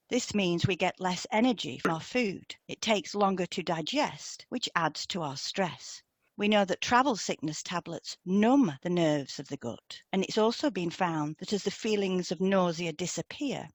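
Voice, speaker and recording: A woman's voice: fairly low pitch, dry recording. A woman presenting a scripted educational talk as a narrator.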